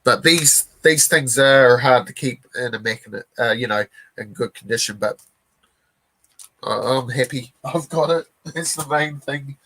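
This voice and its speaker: A man in his forties with a New Zealand accent, chatting casually on a live stream as a comic collector, rambling and relaxed with lots of fillers and restarts. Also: medium pitch, a little slow, breathy edge, rough recording.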